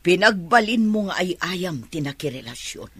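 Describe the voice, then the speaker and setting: A woman's voice: lowish voice, lively intonation, moderate pace, a bit bright, husky, no room sound. An actress playing an opinionated woman in a family radio drama, with a Filipino Ilocano accent. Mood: reproachful.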